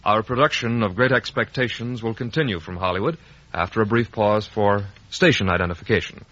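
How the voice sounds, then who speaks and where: A man's voice: lowish voice, a little fast, bright, forceful tone. A man announcing a 1950 network radio program in a formal, polished manner. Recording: muffled vintage radio broadcast.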